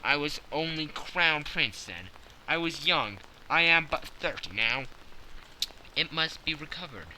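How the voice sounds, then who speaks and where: A man acts out a desperate, proud king of thirty in a classic storybook reading. The delivery is grave, formal and insistent, with a hard, forceful edge over faint background noise. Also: a little high, lively intonation, a little slow, pitched above the performer's own voice, heavily acted, low-quality recording. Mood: agitated, regretful. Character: proud, anguished thirty-year-old European king, agitated and desperate.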